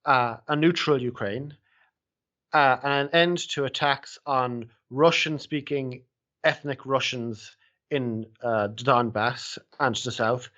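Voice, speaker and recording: A man's voice: mid-range voice, slow, fairly bright tone. A man hosting an interview podcast and putting questions to a guest, on a slightly dull, lo-fi recording. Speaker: podcast host.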